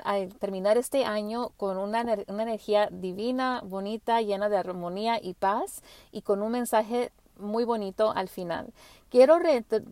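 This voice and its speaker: A woman whose first language is English hosting her spiritual podcast, talking gently with frequent restarts and fillers. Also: mid-range voice, dry recording. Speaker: podcast host. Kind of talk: casual spiritual monologue.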